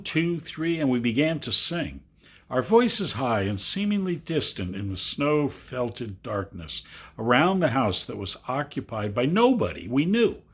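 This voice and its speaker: Male storybook narrator, slightly slow and husky, with dramatic, widely swinging delivery. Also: medium pitch. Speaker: amateur reader of a literary story. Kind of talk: expressive literary storybook narration.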